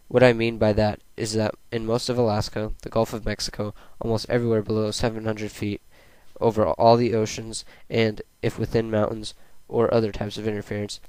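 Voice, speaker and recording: Even-toned young man reading a presentation. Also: on the low side, clear, resonant tone, dry recording, rough recording. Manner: plain, read-aloud delivery. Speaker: student presenter. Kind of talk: scripted narration of a school presentation.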